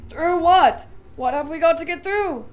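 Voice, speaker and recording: A woman's voice: high voice, lively intonation, normal speed. A woman voicing a curious, eager young boy who asks wondering questions.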